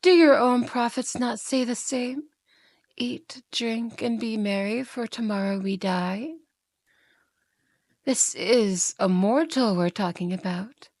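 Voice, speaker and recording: A woman's voice: a little high, takes it slowly, darkish tone, no echo. A woman playing a seductive, mocking yandere demon in an ASMR roleplay, coaxing and theatrical, often slipping into whispers. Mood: mocking, indignant.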